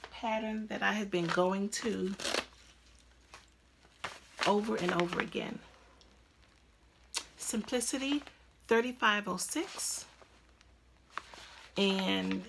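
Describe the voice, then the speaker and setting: A woman's voice: mid-range voice, creaky, fairly bright tone, slight background noise. A woman in her fifties hosting a crafting podcast, chatting casually at home with lots of ums and restarts. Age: 50s. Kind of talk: casual chat to camera. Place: at home, indoors.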